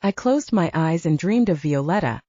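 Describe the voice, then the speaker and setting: A woman narrates an audiobook in the first person with a mellow, slightly low voice. Her intonation is lively and varied. The sound is very dry and a little dull. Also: normal speed, no echo.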